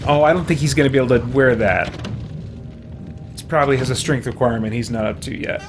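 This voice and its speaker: A man's voice: medium pitch, quick pace. A man giving casual video game commentary, thinking aloud as the host of a let's play. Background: loud game music.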